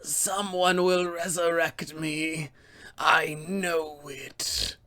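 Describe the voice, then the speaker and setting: A man's voice: on the high side, fairly even intonation, very slow, bright, forceful tone, pitched above the performer's own voice, full character voice. A man voicing a haughty, self-serving female wizard with formal, theatrical disdain for a tabletop game. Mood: dying, defiant. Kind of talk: acted villain lines for a tabletop game.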